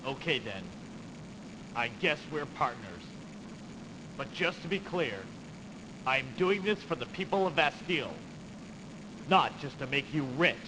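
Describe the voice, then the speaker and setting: A proud, disgruntled young nobleman with scheming ambition in an old video game. His voice sits fairly high and swings widely with indignation. It rings in long reverb. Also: expressive, unhurried, pauses often. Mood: wary, resolved. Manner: haughty, indignant, exclamatory. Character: disgruntled young idealistic nobleman, haughty, hot-tempered, scheming for power. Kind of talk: theatrical video game voice acting. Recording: noisy, reverberant early CD game audio.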